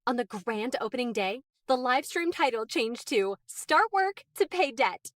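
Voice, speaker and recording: An actress playing an excitable young woman gossiping in an anime game, bubbly and amused in manner. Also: fairly high pitch, measured pace, dry recording, clean studio sound. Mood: amused, excited. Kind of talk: English anime game voice acting.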